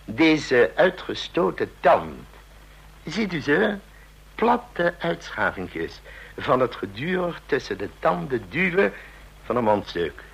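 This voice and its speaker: A man's voice: a little high, big pitch swings, creaky, husky, exaggerated voice, rough recording. Een man die in een hoorspel een babbelzieke, scherpzinnige oude tandarts speelt, bedachtzaam en licht dreigend, vol gemompelde herhalingen. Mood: probing, murmuring. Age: older. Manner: deliberate, musing, with murmured repeats and rhetorical questions. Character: chatty old dentist, shrewd, musing, quietly menacing. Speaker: dentist. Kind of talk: radio drama acting.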